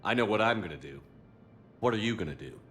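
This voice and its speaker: An actor playing a blunt, determined military hero in a sci-fi video game, talking in terse, direct questions. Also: male voice, lowish voice, lively intonation, fast, a bit bright, slight background noise. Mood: pressing.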